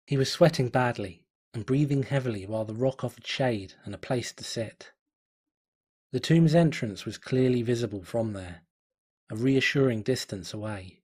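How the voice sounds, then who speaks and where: A man reading a fan story aloud as narrator, in a steady, measured way. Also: mid-range voice, slightly rough. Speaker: fanfiction reader.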